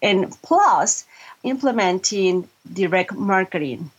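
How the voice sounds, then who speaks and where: A woman's voice: medium pitch, expressive, breathy edge, muffled recording. A middle-aged woman from Mexico, a Spanish speaker, earnestly answering interview questions with frequent restarts. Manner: earnest, restarts often, halting then quick. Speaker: guest, voiceover artist and marketing consultant. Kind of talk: interview conversation.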